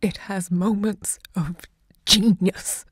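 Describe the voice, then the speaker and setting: An actress playing a passionate, proud literary hostess and lover, narrating an audio drama with dramatic, deliberate delivery. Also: female voice, mid-range voice, steady tone, husky, no room sound, clean studio sound. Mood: grieving, defiant.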